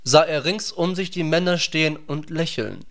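A man narrating a literary radio play from a script in a measured, grave manner. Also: on the high side, fairly bright tone.

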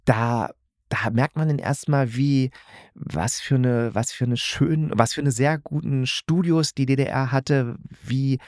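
A man's voice: mid-range voice, unhurried, a little mellow, dry recording, studio quality. A man hosting a casual review monologue, talking enthusiastically and in a rambling way as he searches for words. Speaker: podcast host.

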